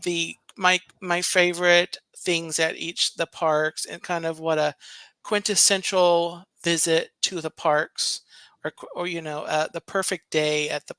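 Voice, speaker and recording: A soft-spoken man chatting casually on a live stream, unhurried and rambling, with plenty of fillers. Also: on the high side, little pitch movement, clear, resonant tone, no echo. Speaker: co-host, stream guest.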